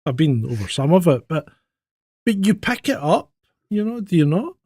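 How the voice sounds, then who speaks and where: A Scottish man with a West Coast-leaning accent, co-hosting a podcast in blunt, animated casual chat. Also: on the high side, normal speed, breathy edge, dry recording, clean studio sound. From Scotland. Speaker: podcast co-host.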